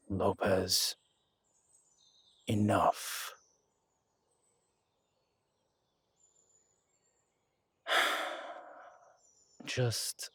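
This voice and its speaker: A man playing a husky, slow-spoken protective detective. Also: lowish voice, no echo, heavily acted. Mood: weary. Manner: soft and reassuring, pleading, peacemaking. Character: steady, protective male detective, tender with his partner. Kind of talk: audio roleplay drama acting.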